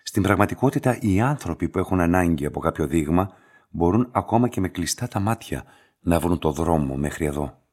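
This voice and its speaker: An actor playing a composed, courteous and enigmatic laboratory director in a radio play, speaking in a measured, formal way. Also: male voice, deep voice, lively intonation, darkish tone. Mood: calm, explaining. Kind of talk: scripted radio drama dialogue.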